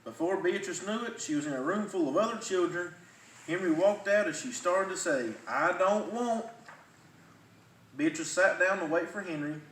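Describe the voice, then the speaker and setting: A man reading a children's storybook aloud in an animated way. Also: sits high, a little fast. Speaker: firefighter reading a children's book. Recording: roomy, distant mic, faint noise.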